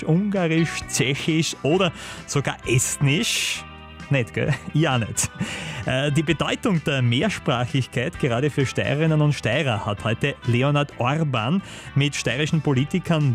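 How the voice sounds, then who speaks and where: A man with an Austrian accent reads a scripted news item at an average pitch for a man. He keeps it conversational, throwing in light asides to his listeners, with faint music underneath. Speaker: news anchor.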